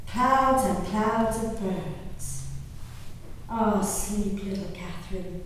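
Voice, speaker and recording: A woman performing a dramatic monologue as a genteel, devoted, anxious colonial wife and mother, in a theatrical, measured manner. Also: mid-range voice. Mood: tender, wistful. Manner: theatrical, measured, with exclamations and pauses. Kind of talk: dramatic stage monologue reading of a diary. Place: reverberant performance hall. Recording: echoey hall from far off, background noise.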